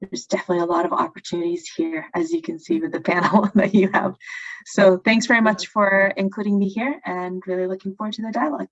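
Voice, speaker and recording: A woman's voice: medium pitch, fast talker. A woman speaking on a webinar panel about plant breeding careers, thoughtful and full of fillers. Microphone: video call. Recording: lo-fi video call audio.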